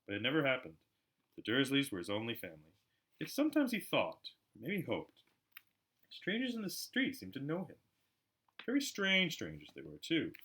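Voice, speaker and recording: A man reading a storybook aloud as an amateur narrator, in an expressive style. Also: medium pitch. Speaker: amateur audiobook reader.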